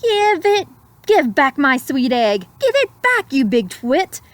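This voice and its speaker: A woman's voice: high-pitched, sing-song, slow, no echo, exaggerated voice. A woman voicing an indignant mother goose in a storybook, pleading fiercely and scolding. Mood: frantic, indignant.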